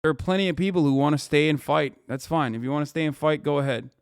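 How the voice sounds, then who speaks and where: A man's voice: on the high side, quick pace, clean tone, no room sound, clean studio sound. A man streaming live, reacting to a news video with heated, profane rants and emphatic repetition.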